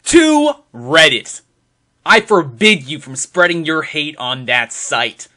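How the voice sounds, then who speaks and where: A man giving a dramatic reading in an emphatic, deliberate, mock-serious way. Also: a little high, sing-song, pushed and bright. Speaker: performer reading social media posts aloud.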